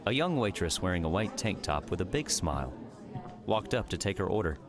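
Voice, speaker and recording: Deep-voiced male audiobook narrator. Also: big pitch swings, brisk, crowd noise. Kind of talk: scripted story narration.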